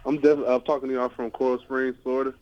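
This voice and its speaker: A man in his twenties from the United States speaks at a middle pitch over a slightly dull, lo-fi call. He is a football player answering questions informally, leaning often on "you know" and "like.". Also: flat delivery, quick pace, darkish tone, smooth. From United States (California and Ohio). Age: 20s. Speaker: American football player, linebacker. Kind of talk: casual press interview answers. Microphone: remote conference call. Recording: dull, lo-fi conference call audio.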